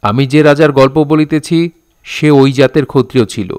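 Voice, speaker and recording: A man playing a patient elder telling a folk tale in deliberate, old-fashioned Bengali diction in an audio drama. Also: mid-range voice, darkish tone, clean tone, lightly acted. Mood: storytelling. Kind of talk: storytelling within an audio drama.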